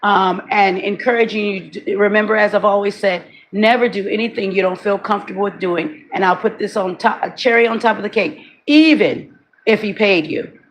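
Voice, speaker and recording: A woman in her forties, slightly raspy today, giving a candid, chatty motivational talk to her viewers and often repeating herself. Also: mid-range voice, brisk, rough recording. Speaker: webcam model vlogger. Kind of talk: casual motivational monologue.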